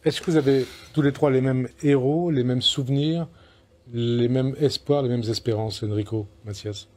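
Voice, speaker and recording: A man's voice: medium pitch, fairly even intonation, moderate pace, darkish tone. A man hosting a television panel discussion, guiding the guests with questions. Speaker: host, moderator.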